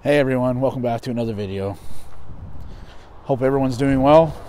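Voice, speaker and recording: A man's voice: mid-range voice, a little fast, mellow, soft background music. A middle-aged man talking casually as the host of an outdoor vlog. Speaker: video host. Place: outdoors on open gravel ground near an overpass. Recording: outdoor noise, wind or traffic.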